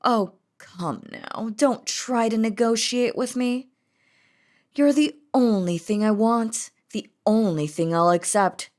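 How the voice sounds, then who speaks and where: Casting: female performer as feared demon queen, higher-pitched, unhurried, possessive and lovestruck, imperious delivery for romantic audio drama. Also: a little mellow. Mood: menacing. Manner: imperious, dramatic, threatening yet doting. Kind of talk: scripted romantic audio roleplay.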